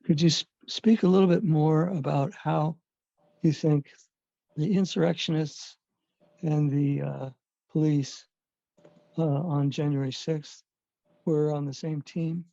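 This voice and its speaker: Gravelly man with a dull, dry line. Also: a little high, steady tone, dark tone, no echo. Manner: halting, pausing between words. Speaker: audience member asking a question. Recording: dull, dry lo-fi call audio.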